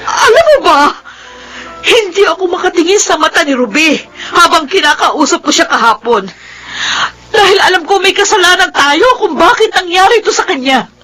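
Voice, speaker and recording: A woman's voice: extremely high pitch, lively intonation, normal speed, sharp tone, faint music behind, full character voice, low-quality recording. An actress with a Filipino accent playing an indignant, anguished mother in a radio drama, emotional, pleading and accusing. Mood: anguished reproach. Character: anguished, indignant mother confronting her husband.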